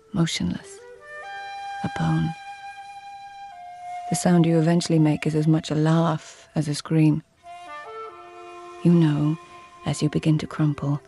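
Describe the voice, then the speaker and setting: Low, creaky, poised court lady. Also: mellow. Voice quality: husky, creaky. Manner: poised, precise, detached. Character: cool, sharp-minded lady-in-waiting and poet of imperial court. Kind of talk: radio drama acting and literary narration.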